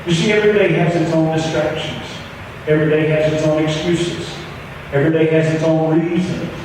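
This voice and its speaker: An American man preaching a fervent sermon to a congregation, dramatic and repeating phrases for emphasis, swinging between hushed and raised delivery. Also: on the high side. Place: large church sanctuary. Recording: very echoey hall, distant mic, clear background noise.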